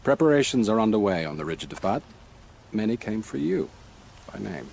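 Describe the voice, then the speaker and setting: A man's voice: fairly low pitch, very animated, brisk, gravelly, a little mellow, poor audio. A man playing a loyal, respectful, plainspoken royal officer in a video game cutscene. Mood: steady, respectful. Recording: faint background noise and ambience.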